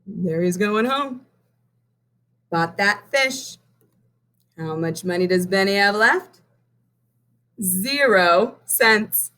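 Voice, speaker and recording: A woman teacher reading a picture book aloud to children, stopping to count coins with them. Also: medium pitch. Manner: slow and expressive, pausing to count coins. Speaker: primary math teacher. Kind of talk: children's read-aloud lesson.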